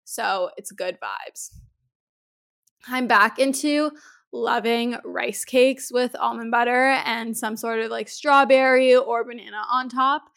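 A woman from Canada hosting a podcast in casual chat, hoarse from a head cold, chatty and full of "like". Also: on the high side, slow, a bit bright. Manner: chatty, uses "like" often. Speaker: podcast host.

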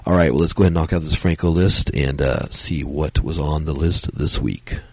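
Podcast host, man, husky and strained from a cold, dry close sound, loose rambling delivery. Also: moderate pace, darkish tone, dry recording. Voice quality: very deep, gravelly. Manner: rambling, many fillers and restarts. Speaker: podcast host reviewing films. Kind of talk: solo casual review talk.

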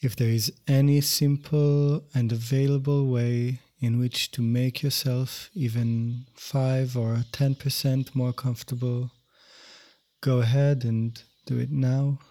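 A man teaching yoga nidra, guiding a meditation in gentle, measured, unhurried phrases. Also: mid-range voice, little pitch movement. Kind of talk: guided meditation instruction.

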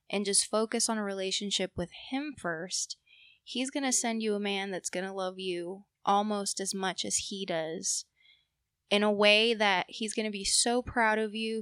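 Slow-talking young woman, bright American voice. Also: medium pitch, clean tone, dry recording, studio quality. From Puerto Rico. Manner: slightly nervous, halting delivery. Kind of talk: casual storytelling.

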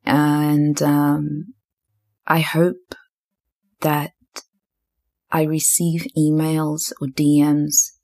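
A woman's voice: sits low, monotone, very slow, long pauses, darkish tone. A young woman from Zimbabwe and New Zealand hosting a solo podcast, rambling and thinking aloud with lots of fillers. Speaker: podcast host.

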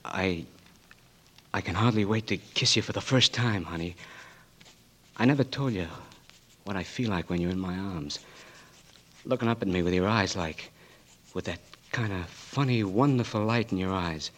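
A man's voice: sits low, normal speed, pauses often. An actor playing a brash, slang-talking young Marine patient who has lost his sight, in a 1940s radio drama. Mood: tender. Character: young wounded Marine, cocky tough-talking, blinded.

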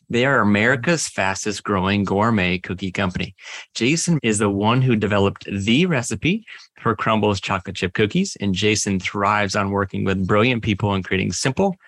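A man hosting a podcast interview, friendly and joking in a relaxed way. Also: fairly low pitch, no echo. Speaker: podcast host.

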